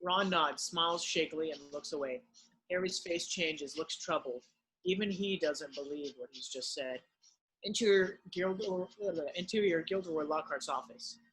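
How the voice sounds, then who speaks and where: A man's voice: a little high, normal speed, a bit bright, breathy edge, rough recording. A man narrating, reading the stage directions of a screenplay in an amateur read-through.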